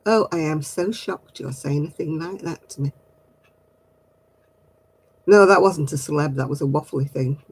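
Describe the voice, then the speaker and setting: A man's voice: fairly high pitch, a little slow, long pauses, breathy edge, low-quality recording. A middle-aged man, hosting a casual craft livestream and chatting with viewers in a giggly, rambling way. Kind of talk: casual chat answering viewer comments.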